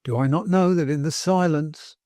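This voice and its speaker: Man putting on a pompous old moralizer, plaintive, sanctimonious, mellow voice, dry sound. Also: a little high, normal speed, dry recording, natural voice. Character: pompous self-righteous older man, plaintive.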